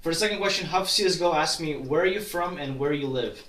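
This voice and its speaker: A man's voice: a little high, fast, fairly bright tone. A young man from Kazakhstan answering viewer questions in a casual vlog, rambling with many restarts and fillers. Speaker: YouTuber. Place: at his grandmother's town in Kazakhstan. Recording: roomy echo, distant from mic.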